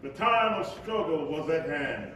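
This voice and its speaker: An earnest, reflective preacher and father of the civil rights era on stage. His voice sits fairly high and is oratorical, with halting asides. It comes from far off in a very echoey, rumbling hall. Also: expressive, a bit bright. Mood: proud, declaiming. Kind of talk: live stage play monologue and dialogue. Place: live stage before an audience. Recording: echoey hall, distant mic, noisy rumble.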